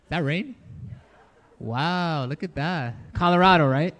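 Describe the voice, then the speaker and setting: A young man from the Dominican Republic, raised in New York City, sharing a relaxed personal testimony with a congregation in a barn church, with easy laughs and filler words. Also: a little high, measured pace. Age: young adult. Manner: relaxed, chatty, laughs easily, many fillers. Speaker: church resident speaker. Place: barn used as a church hall, rain outside.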